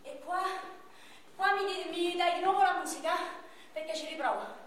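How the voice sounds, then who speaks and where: A woman performing a theatrical monologue as a battered, frail young victim, with a Roman accent and halting, repetitive, coarse speech. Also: very high voice. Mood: anguished, outraged. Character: battered frail 31-year-old Roman victim, raging and wounded. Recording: echoey stage, distant mic, background noise.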